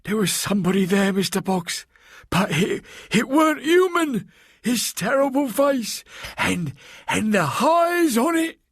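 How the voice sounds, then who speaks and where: A hulking servant woman, terrified and frantic, gasps out her words in rough rustic grammar, as a man puts her on. The voice sits far higher than the reader's own, slightly husky, in a dry close recording for an audiobook. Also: very animated, unhurried, no echo, heavily acted. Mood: frightened. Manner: frantic, breathless, rustic grammar, calls listener sir. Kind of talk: audiobook character voice.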